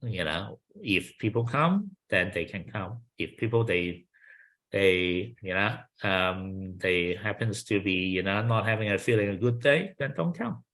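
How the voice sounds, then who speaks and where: A man's voice: on the low side, expressive, measured pace, a bit bright, low-quality recording. A man with a Chinese accent, a kung fu instructor speaking in an easy, reflective way and often adding "you know". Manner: thoughtful, frequent you-knows, light laughter. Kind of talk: casual conversational interview.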